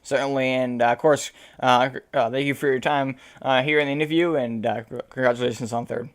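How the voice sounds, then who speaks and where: A man with a hoarse, fading voice interviewing sim racing drivers after a race, rambling with many fillers. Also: medium pitch, fairly even intonation, fairly quick. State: losing his voice.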